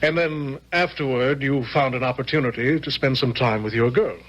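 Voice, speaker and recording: An actor playing a grave, probing, thoughtful army general, speaking with measured authority in a radio drama. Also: male voice, mid-range voice, lo-fi sound, close to an everyday voice. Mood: firm. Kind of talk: 1970s radio drama acting. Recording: noisy vintage radio recording with hum.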